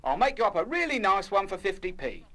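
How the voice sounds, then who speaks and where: An actor playing a cheery, obliging village baker in a children's radio play, with a folksy manner. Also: male voice, sits high, sing-song, quick pace, fairly bright tone, full character voice. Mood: friendly, obliging. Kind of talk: 1970s full-cast children's radio play acting.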